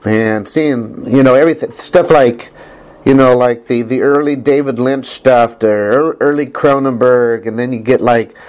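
A man's voice: normal speed, darkish tone, low-quality recording. A man hosting a podcast, chatting casually with enthusiasm and frequent laughs. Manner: enthusiastic, laughing often, with big pitch jumps. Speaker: podcast host.

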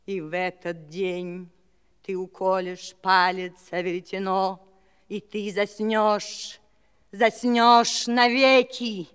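A woman's voice: mid-range voice, little pitch movement, slow pace, clean tone, lightly acted. A woman playing a wicked, vengeful old witch fairy in a fairy-tale audio play, imperious, menacing and theatrical. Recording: long hall reverb.

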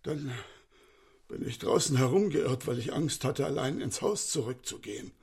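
An older man playing a distraught, deferential elderly manservant in a radio crime comedy, speaking in an agitated, halting way. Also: medium pitch, expressive, a little mellow, husky. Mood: anxious, downcast.

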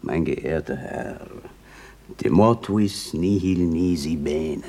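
Radio comedy role: unctuous beggar, deep voice, ceremonious, lofty politeness. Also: male voice, dark tone, heavily acted. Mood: lofty, courteous. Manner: pompously courteous, measured. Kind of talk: German radio play acting.

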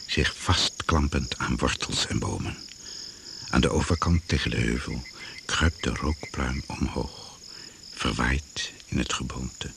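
A solemn radio drama narrator with an extremely low voice. He delivers short descriptive phrases very slowly and with measured gravity. The old recording has clear noise behind him. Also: full character voice. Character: grave, slow male narrator of a jungle survival drama.

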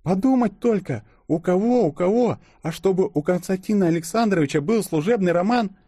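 A man's voice: sits high, lively intonation, brisk, warm tone, no echo, studio quality, pitched above the performer's own voice. A man voicing a sweet, doting young woman who coos and gushes romantically in an audiobook. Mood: gushing. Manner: cooing, gushing.

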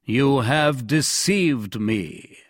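A man narrator acts an imperious storybook cardinal, cunning and falsely benevolent. The voice is fairly high and varied in pitch, deliberate and condescending, with a slightly rough grain. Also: big pitch swings, fairly bright tone, dry recording, studio quality, heavily acted. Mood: stern.

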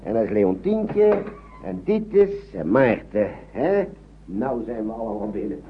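An actor playing a gentle, courteous older man in a radio play, speaking politely and reassuringly with some hesitation. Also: fairly low pitch, sing-song, measured pace. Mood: fussing, friendly. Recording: muffled, noisy old radio drama recording.

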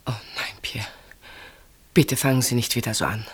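An actress playing a reserved, tender woman in an intimate radio play, speaking in a gentle, measured, earnest way. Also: rumbling low, slightly rough, heavily acted. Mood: anxious, pleading. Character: reserved, tender woman named Marianne, quietly devoted.

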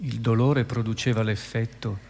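A man's voice: lowish voice, little pitch movement, moderate pace, vocal fry, darkish tone. Male narrator with long reverb. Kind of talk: scripted dramatic narration. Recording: long hall reverb, music underneath.

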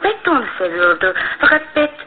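A woman's voice: mid-range voice, sing-song, a little fast, close to an everyday voice. A woman playing a candid, worried young working woman, an older sister, explaining earnestly in a radio drama. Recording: tinny, noisy old radio drama recording.

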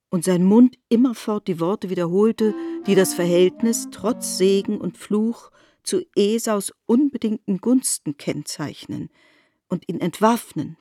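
A woman narrating a literary radio drama from a script in a measured, articulate storytelling manner. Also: mid-range voice, dark tone, no room sound.

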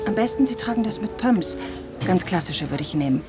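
An actress playing a polite boutique saleswoman who advises and flatters, in a radio drama. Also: mid-range voice, a little fast, natural voice. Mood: flattering, helpful. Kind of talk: German radio drama acting. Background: music.

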